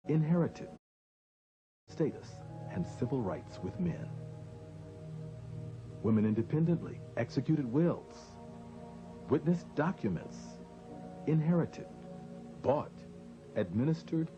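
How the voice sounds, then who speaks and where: A man narrating a documentary from a script in a deliberate, emphatic way. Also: mid-range voice, muffled recording.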